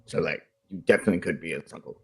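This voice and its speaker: A man's voice: low-pitched, racing, gravelly, breathy edge. Rambling man at a game table. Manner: rambling, full of "like" fillers. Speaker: player. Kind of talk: casual chat in a tabletop game.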